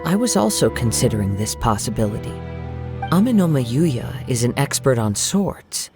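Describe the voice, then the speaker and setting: A man playing a calm, polite young swordsman investigating in a video game, speaking in a composed, formal, deliberate way. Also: mid-range voice. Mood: pondering. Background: music.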